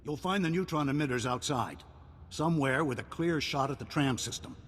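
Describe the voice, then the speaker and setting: An actor playing a serious military officer in a video game, giving briefings in a clipped, authoritative way. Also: male voice, on the high side, brisk, a bit bright. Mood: serious, commanding. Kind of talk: scripted video game acting. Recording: game cutscene with music underneath.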